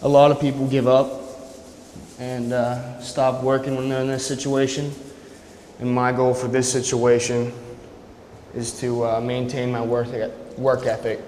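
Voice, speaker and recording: A man's voice: mid-range voice, completely flat delivery, a little slow, darkish tone, poor audio. A young man giving an unscripted, informal and somewhat hesitant personal account in an interview. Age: young adult. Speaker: military policeman giving personal testimony. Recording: faint background noise and ambience.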